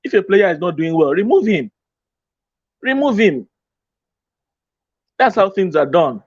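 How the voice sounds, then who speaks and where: A man on a studio panel explaining his view of a football match in a measured, patient way, repeating key points for emphasis. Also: high-pitched, very animated, dry recording, highs cut off. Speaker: football pundit.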